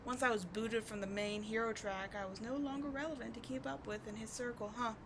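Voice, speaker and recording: A woman's voice: fairly high pitch, fairly even intonation, brisk, lightly acted. A woman playing a bitter, wounded villain who secretly cares, sardonic and exasperated, in a dramatic ASMR audio roleplay. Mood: bitter, resentful. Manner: sardonic, exasperated, emotionally intense. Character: bitter, wounded female villain secretly caring for rival sidekick. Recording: clearly audible background noise.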